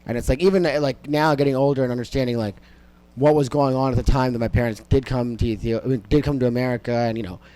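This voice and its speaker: A man's voice: mid-range voice, steady tone, quick pace, hardly any pauses, warm tone, clear, resonant tone. A young man whose first language was Amharic, a comedian talking casually in an interview, rambling and profane with many fillers. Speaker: stand-up comedian, podcast guest.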